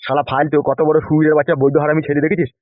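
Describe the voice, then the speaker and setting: A man's voice: on the high side, level tone, very fast, no room sound, narrow, tinny audio, lightly acted. A man voicing a corrupt, lecherous, pompous politician and gang boss in a comedy cartoon. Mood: aggrieved. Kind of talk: animated comedy cartoon dialogue.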